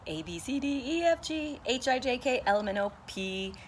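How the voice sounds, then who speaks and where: A woman's voice: sits high, lively intonation, moderate pace, slight background noise. A woman teacher leading a morning meeting for young children, singing the days and reading a story aloud in a sing-song, patient way.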